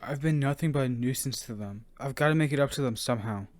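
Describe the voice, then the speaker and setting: A man's voice: mid-range voice, fast, background noise, natural voice. A man acting in an amateur audio drama as an earnest, impulsive young fighter in a battle game. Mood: resolved. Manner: earnest, urgent.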